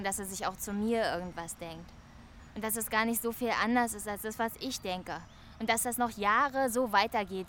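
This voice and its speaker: A woman's voice: medium pitch, a bit bright, slight background noise, lightly acted. A woman playing a lonely young woman in love, confiding hesitantly and musingly in a German radio play. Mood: subdued longing. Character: lonely, wistful young woman quietly in love with her neighbour.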